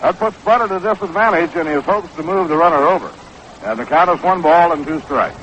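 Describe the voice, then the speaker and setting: Higher-voiced American baseball announcer, dull noisy recording. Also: male voice, a little fast, a bit bright. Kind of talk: 1960s live radio baseball commentary. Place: outdoor ballpark broadcast booth. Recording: dull, noisy 1960s AM radio broadcast.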